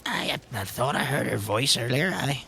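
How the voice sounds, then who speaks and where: A man acts out a grumpy old pub regular, gravelly and swooping in pitch. He halts, repeats himself and bristles defensively, as in an improvised roleplay game. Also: animated, normal speed, sharp tone. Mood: curt, indignant. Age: older.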